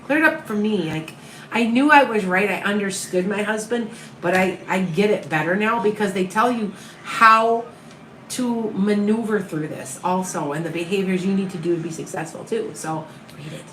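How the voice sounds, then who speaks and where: A woman with a Midwestern accent talks to her live viewers in a calm, comforting way. The room around her is echoey, with a faint hum behind her voice. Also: mid-range voice, normal speed. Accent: Upper Midwest American. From American Midwest. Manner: calm, soothing, reassuring. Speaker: tarot reader and medium. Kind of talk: live-stream reading viewer questions.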